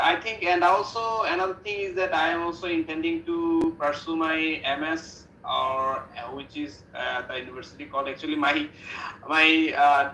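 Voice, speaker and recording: A man's voice: on the high side, pushed and bright, muffled recording, a little hiss or hum. A young man in his twenties with a Bangladeshi English accent, answering practice questions hesitantly and at length. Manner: hesitant, long searching answers. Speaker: practice student. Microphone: video call. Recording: echoey room on a call.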